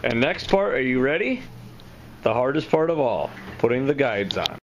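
A man's voice: medium pitch, big pitch swings, normal speed. A man filming his kids at home and patiently explaining how they build fishing gear. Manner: patient, practical, explaining steps. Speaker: father and cameraman. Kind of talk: casual instruction. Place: home workshop, indoors. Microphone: camera mic. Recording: noisy home video.

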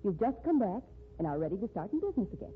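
A woman's voice: mid-range voice, very animated, rapid-fire, close to an everyday voice. An actress playing a determined, devoted woman writer, insistent and emphatic in 1950s radio style. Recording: tinny, noisy 1950s radio recording.

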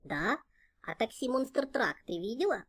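A woman voices a friendly little taxi car, a boy character in a children's cartoon. The voice is fairly high and childlike, with an eager, simple delivery. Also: big pitch swings, a little slow. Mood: eager, showing off.

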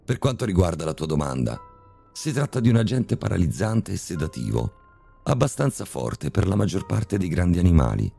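A man playing a cold, calm, sadistic male surgeon who speaks with polite, mocking menace in a horror story reading. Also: low voice, normal speed, vocal fry, faint music behind, voice pushed up, heavily acted. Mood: icy calm.

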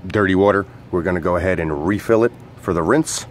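Low-voiced man giving a casual product demonstration. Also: brisk, noisy background. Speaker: product reviewer and outdoor coach.

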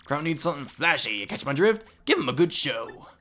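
Man doing a booming southern fight promoter. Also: sing-song, a little fast, bright, forceful tone, exaggerated voice. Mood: boisterous. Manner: boisterous, drawling. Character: folksy old male fight promoter, southern drawl.

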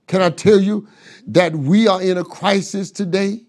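A man's voice: high-pitched, fairly even intonation, a little slow, a little mellow. A middle-aged man preaching a sermon from a desk in a study, building his points through rhetorical questions and repetition on a lapel mic. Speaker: pastor. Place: pastor's office with bookshelves, seated at desk.

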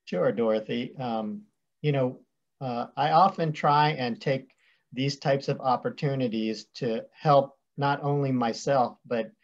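A man's voice: mid-range voice, unhurried. A man in his thirties from West Virginia, an attorney speaking in a friendly, thoughtful way on a video call. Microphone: video call webcam. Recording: dull lo-fi webcam audio.

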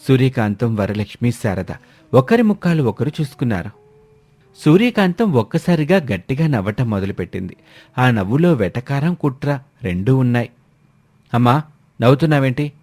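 A man's voice: mid-range voice, sing-song, normal speed, a little mellow. A man narrating a scripted family moral story in a dramatic storytelling style.

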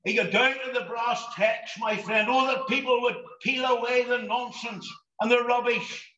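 Man, impassioned gospel preacher, sharp tone, echoey dull recording. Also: very high voice, fairly even intonation, normal speed. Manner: impassioned, pleading, bursts of shouting. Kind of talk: gospel sermon. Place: drive-in gospel meeting.

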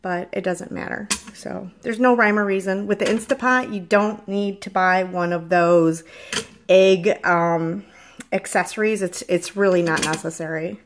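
A woman's voice: mid-range voice, steady tone, a little slow, a little hiss or hum. A woman chatting casually as she demonstrates cooking, rambling and correcting herself, filmed on a phone in her home kitchen. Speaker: farm vlogger. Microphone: phone held in hand.

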